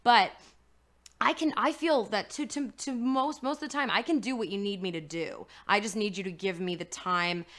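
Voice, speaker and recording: A woman's voice: mid-range voice, normal speed, a bit bright. A woman voice actor talking candidly in a casual livestream chat, with lots of "like" fillers.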